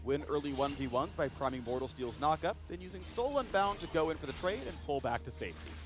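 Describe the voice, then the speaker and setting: A man's voice: a little high, lively intonation, fast. A man reading punchy, scripted gameplay guide narration with a playful, promotional delivery. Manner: playful, pun-laden delivery. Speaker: game character guide narrator. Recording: faint music under narration.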